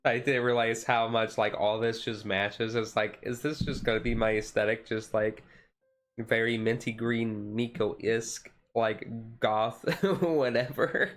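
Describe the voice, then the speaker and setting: A man streaming a casual ASMR-style card showcase, easygoing and halting as he reads out cards, his voice a little rough and taken gently. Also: medium pitch, steady tone. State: voice slightly rough, being taken easy.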